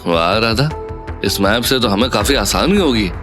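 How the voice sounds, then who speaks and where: An actor playing an earnest, cautious young man in a Hindi audio drama, speaking in a measured, polite way. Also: fairly low pitch, expressive, over music, lightly acted. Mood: earnest, careful.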